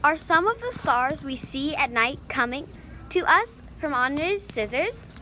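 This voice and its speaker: A woman's voice: high voice, expressive, a little slow, bright, forceful tone. A female student reading a children's storybook aloud, with noise behind the voice. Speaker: student reader. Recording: clearly noisy background.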